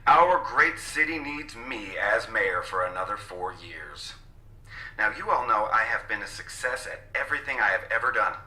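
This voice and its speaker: An actor playing a smug, pompous mayor boasting in a campaign ad within an audio drama. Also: male voice, lowish voice, lively intonation, moderate pace, bright, forceful tone. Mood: boastful. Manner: pompous, self-congratulating. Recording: distant, echoing television ad sound.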